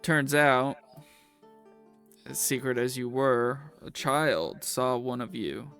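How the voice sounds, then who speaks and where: A man plays a suspicious warden in a fantasy tabletop game. He is curt and openly hostile, with an unhurried, mid-pitched voice over faint music. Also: creaky, a bit bright, clear, resonant tone. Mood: suspicious, challenging. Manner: curt, challenging. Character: suspicious, blunt, hostile male prison warden.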